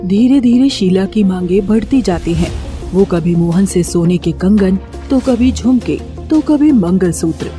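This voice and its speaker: A woman's voice: mid-range voice, dark tone. A woman narrating a scripted moral story as its storyteller.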